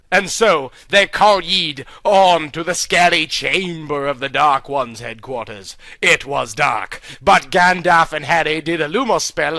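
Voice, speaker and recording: A man reading a clumsy fan story aloud in a deadpan, mock-earnest comic style, close to the mic. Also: fairly high pitch, no room sound. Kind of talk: comedic dramatic reading.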